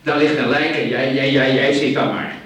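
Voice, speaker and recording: In a very echoey, distant radio play recording, a male actor plays a money-hungry male crook who dreams out loud and pushes others around. He stammers over repeated words in an excitable, rambling way, at a medium pitch. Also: normal speed, natural voice. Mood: agitated, greedy. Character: scheming, money-hungry crook, dreamy schemer turning domineering. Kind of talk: 1980s radio thriller acting. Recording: very echoey, distant, faint noise.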